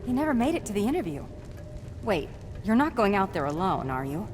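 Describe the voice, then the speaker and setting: An actress playing a bold, inquisitive reporter in a video game, pushy and full of questions. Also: female voice, medium pitch, sing-song, brisk, a little mellow, natural voice. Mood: eager, pressing. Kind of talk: video game cutscene acting. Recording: reverberant hall, faint background noise.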